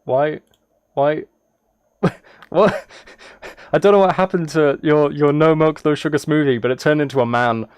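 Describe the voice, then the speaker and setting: A man's voice: on the high side, measured pace, long pauses, smooth, no echo. A man livestreaming casually, reacting and chatting in an easily sidetracked, self-deprecating way. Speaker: live streamer. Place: indoors at a streaming setup.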